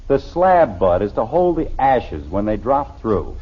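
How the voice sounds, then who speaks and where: An actor playing a stubborn, defensive middle-aged American suburban father in a blustery, exasperated 1950s radio sitcom style, with a husky voice. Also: fairly low pitch, sing-song, unhurried, full character voice. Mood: impatient. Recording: dull, lo-fi old radio recording.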